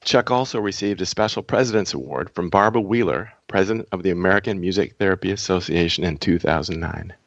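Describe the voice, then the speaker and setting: A man's voice: sits low, quick pace, hardly any pauses, no room sound, dull audio. A man hosting a podcast, speaking in reflective monologue and introducing guests. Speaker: podcast host.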